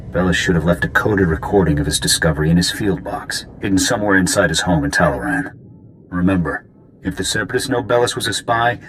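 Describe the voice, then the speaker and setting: Low voice, stern officer, clipped commands, scornful impatience, game dialogue, faint ambient noise. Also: male voice, a bit bright, rough recording. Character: stern, impatient military lieutenant and operations officer. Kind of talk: video game voice acting.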